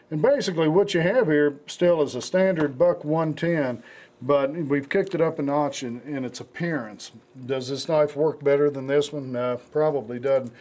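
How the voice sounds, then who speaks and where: A man with a hoarse, raspy voice from the flu, hosting a casual knife show with rambling talk and many fillers. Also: mid-range voice, a little mellow. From United States. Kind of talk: casual product demonstration.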